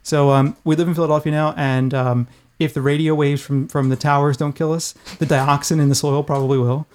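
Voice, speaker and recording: High-voiced, irreverent man co-hosting a podcast. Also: level tone, brisk, darkish tone. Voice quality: high-pitched voice. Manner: irreverent, casually profane, rambling. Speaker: podcast host. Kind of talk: casual chat.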